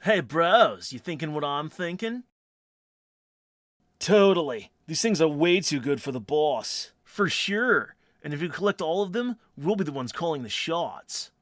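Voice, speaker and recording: Man doing a high-pitched surfer-dude turtle henchman. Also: animated, a little slow, no room sound, clean studio sound. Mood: awed, scheming. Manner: slangy surfer-dude drawl. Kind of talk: amateur cartoon overdub acting. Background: loud music.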